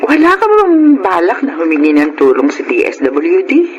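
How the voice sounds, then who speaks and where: A woman's voice: on the low side, wildly swooping pitch, normal speed, darkish tone, dull audio. A woman playing a kindly, concerned middle-aged neighbour in a Filipino radio drama, speaking emphatically with a Filipino accent. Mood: friendly concern. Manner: solicitous, emphatic. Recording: very noisy radio drama recording.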